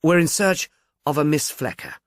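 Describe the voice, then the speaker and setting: A man voicing a polite, deliberate male detective inspector with a formal, measured manner. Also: a little high, lively intonation, no echo, clean studio sound, natural voice.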